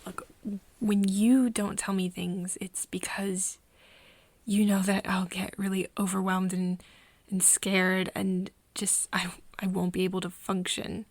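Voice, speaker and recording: Woman acting as a slow, hesitant, softly whispering friend. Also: mid-range voice, level tone, no echo. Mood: anxious, confessional. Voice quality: soft, breathy, slipping into whispers. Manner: hesitant, halting, with pauses and sighs. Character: nervous, caring young woman confiding in her vampire friend. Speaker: ASMR roleplay performer. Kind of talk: ASMR roleplay, intimate scripted acting. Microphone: close microphone, dry room.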